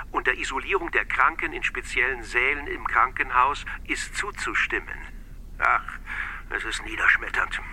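A man's voice: mid-range voice, vocal fry, sharp tone, exaggerated voice, low-quality recording. A man acting an exasperated, opinionated physician in a radio drama, argumentative and emphatic. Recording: faint background noise.

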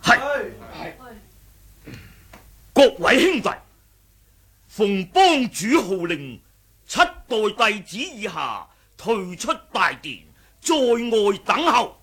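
Stern wuxia elder, male actor, declamatory and zealous, deliberate pace, slightly high husky voice, radio drama. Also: sing-song, sharp tone, full character voice. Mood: commanding. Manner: declamatory, formal, interrogating. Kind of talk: Cantonese martial-arts radio drama acting.

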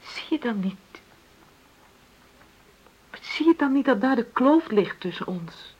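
An actress in a radio drama playing an unhappy middle-aged wife who feels trapped, speaking openly and haltingly in a husky voice. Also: female voice, a little high, expressive, highs cut off, full character voice. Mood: anguished. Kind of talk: naturalistic radio drama dialogue.

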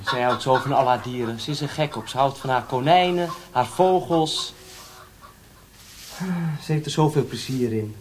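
An actor playing a gentle, devout young village priest, speaking softly and with patient earnestness in a radio drama. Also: male voice, medium pitch, normal speed, a little hiss or hum, natural voice. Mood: gentle, earnest.

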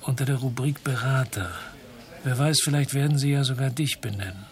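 An actor playing a weary, dogged French detective who narrates his noir radio crime story in a measured, laconic way. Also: medium pitch, close to an everyday voice. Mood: pressing, low-key. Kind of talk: first-person narration in a noir radio drama.

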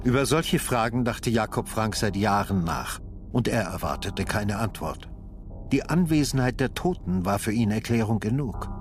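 An actor playing a sober narrator of a detective story in a literary radio drama. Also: male voice, fairly low pitch, animated, moderate pace, close to an everyday voice. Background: music.

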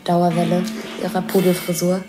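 Low-voiced woman describing scenes over music. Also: monotone, moderate pace. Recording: music behind the voice.

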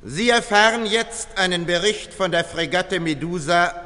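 A man's voice: sits high, moderate pace. A man delivering dramatic scripted narration for a radio piece. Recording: long reverb under loud music.

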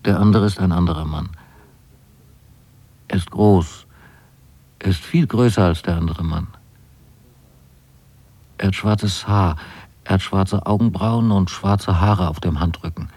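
A watchful young man confides in a low, dark, husky voice. He is hesitant and deliberate, uneasy among strangers, speaking intimately as if writing home in a radio play. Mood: confiding, wary. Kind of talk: intimate radio drama monologue, spoken as a letter.

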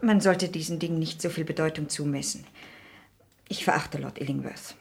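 An upright young woman in a classic radio drama. She speaks in a slightly low voice, earnest and careful, with moral seriousness. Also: moderate pace, creaky. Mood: earnest, scornful. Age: young adult. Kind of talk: 1960s German radio play acting.

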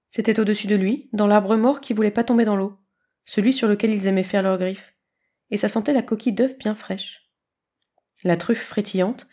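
A woman reading a scripted story aloud as an expressive narrator. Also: medium pitch. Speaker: podfic reader.

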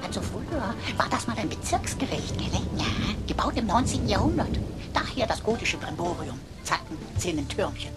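A woman's voice: medium pitch, big pitch swings, normal speed, over loud music, natural voice. A woman playing a cheeky, theatrical talking computer in a sci-fi radio play, florid and mock-pompous. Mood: showy, chatty.

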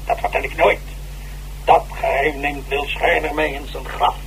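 A man's voice: fairly high pitch, level tone, moderate pace, vocal fry, a bit bright. A man in a Dutch radio drama playing an agitated, boastful old stage actor who stammers theatrically and defensively. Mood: evasive, boastful. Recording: noisy, humming old radio recording.

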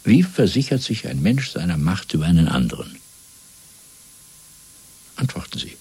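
Zealous party interrogator, low husky voice, measured lecturing, menacing, radio drama. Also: male voice, big pitch swings, full character voice. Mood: menacing, exalted. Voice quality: gravelly, husky. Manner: measured, lecturing, swelling from hushed to fervent. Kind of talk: German radio play acting.

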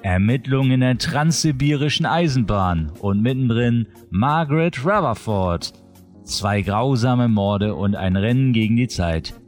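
A man's voice: medium pitch, animated, measured pace, darkish tone, faint music behind. A man presenting a roundup of new releases in scripted announcement narration.

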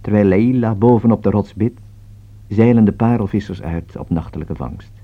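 A man with a Netherlands Dutch accent reads a formal scripted opera introduction as a radio announcer, in measured, careful enunciation. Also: on the low side. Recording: dull, band-limited old radio recording.

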